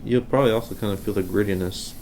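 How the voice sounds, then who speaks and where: A man giving a casual, unscripted how-to demonstration, talking haltingly and unhurriedly as he works. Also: on the low side, fairly even intonation, darkish tone, a little hiss or hum, low-quality recording. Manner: unhurried, halting, thinking aloud while working. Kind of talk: casual unscripted hands-on tutorial.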